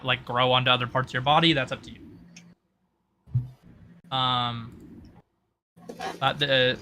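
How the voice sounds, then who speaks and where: A man working as game master, explaining tabletop roleplay rules in a halting way, thinking aloud. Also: mid-range voice, background noise.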